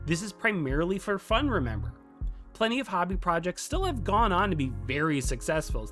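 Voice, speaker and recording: A man's voice: fairly high pitch, fairly bright tone. A man narrating a scripted explainer as a tutorial host, with playful, emphatic asides. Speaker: host of a game development tutorial. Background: background music.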